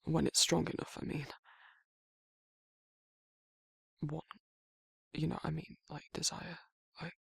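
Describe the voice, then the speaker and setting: Man acting a bashful male werewolf, British accent, gravelly and breathy, stumbling gentle delivery, ASMR roleplay, close dry sound. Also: fairly high pitch, level tone, moderate pace, dry recording, exaggerated voice. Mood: bashful, rambling. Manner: hesitant, rambling, bashful, stumbling over words. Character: grumpy but awkward, shy, gentle male werewolf.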